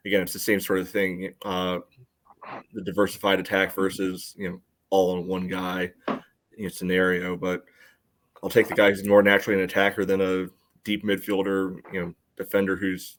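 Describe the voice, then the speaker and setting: A man co-hosting a sports podcast, giving casual analysis in a loose, hedging, conversational way. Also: low-pitched. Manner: hedging, peppers talk with "you know". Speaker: podcast co-host.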